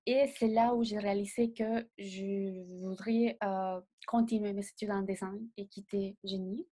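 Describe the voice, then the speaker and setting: Young woman, not her first language, talks flat and even, stops a lot to find the word. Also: mid-range voice. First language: not French. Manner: measured, pausing often to find words. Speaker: designer guest. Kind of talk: interview answers.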